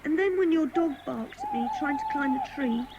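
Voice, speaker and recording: A woman's voice: high voice, lively intonation, moderate pace, muffled recording, background noise. A woman playing a frightened but defiant young runaway boy, plaintive and guarded, in a children's audio drama. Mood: plaintive, confiding.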